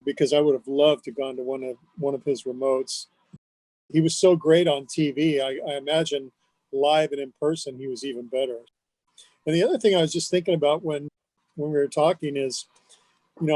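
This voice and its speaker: A man's voice: a little high, darkish tone, dry recording, low-quality recording. A man, a radio personality, chatting as an interview guest in an enthusiastic, emphatic way. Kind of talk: casual conversational reminiscence.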